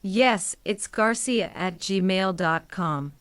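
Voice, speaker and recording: A woman reading short English phrases one at a time for a language lesson, in a measured, scripted way. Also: medium pitch, fairly bright tone, no echo. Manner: clear, measured prompts with pauses.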